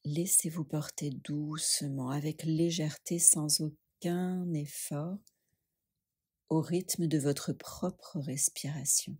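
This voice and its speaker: A woman leading a guided yoga nidra meditation, speaking gently and soothingly with long pauses. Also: low-pitched, fairly even intonation, husky.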